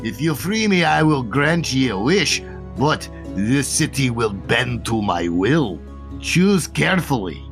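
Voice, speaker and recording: A man's voice: mid-range voice, sing-song, unhurried, faint music behind. A man voicing a scheming male genie of the lamp in a grand, commanding stage manner.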